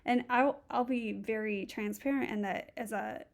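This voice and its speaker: Gravelly-voiced woman teacher thinking aloud. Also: fairly high pitch, moderate pace. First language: English. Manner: thinks aloud, walks through steps. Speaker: Spanish instructor. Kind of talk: recorded tutorial lesson.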